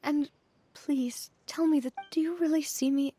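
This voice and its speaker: A woman playing a principled, sincere young female leader in a video game, speaking earnestly and with measured care. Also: high voice, fairly even intonation, mellow, slight background noise. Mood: grieving, sincere. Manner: earnest, measured, halting when emotional. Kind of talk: dramatic video game voice acting. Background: music.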